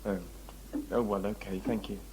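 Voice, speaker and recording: A man's voice: lowish voice, flat delivery, moderate pace, gravelly. A man playing a wry, squeamish travelling companion in a sci-fi audio drama, casual and exclamatory. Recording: noisy amateur audio-drama tape.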